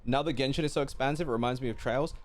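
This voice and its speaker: A man live-streams a game in a casual, chatty style. His voice sits at a medium pitch and he rambles playfully as he reacts. Faint game music plays behind him. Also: racing.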